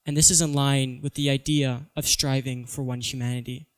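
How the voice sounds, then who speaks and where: Teen guy giving a prepared talk, earnest, pretty monotone, mid pitch. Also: moderate pace. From Singapore. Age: teens. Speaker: student speaker.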